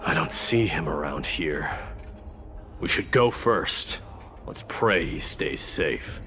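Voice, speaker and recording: A man's voice: rumbling low, lively intonation, takes it slowly, bright, forceful tone, soft background music, heavily acted. A man voicing a proud, grim warrior in a fantasy game, speaking in a solemn, terse manner. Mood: grave. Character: stern, grave male warrior, grim and proud. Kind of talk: fantasy video game acting.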